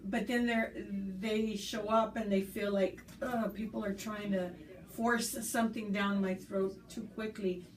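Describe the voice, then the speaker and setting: A woman's voice: mid-range voice, steady tone, a little slow. A woman who was born in the United States, being interviewed and speaking earnestly and thoughtfully, with fillers and restarts. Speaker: school staff member interviewed. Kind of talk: conversational interview. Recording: roomy, distant mic, faint background noise.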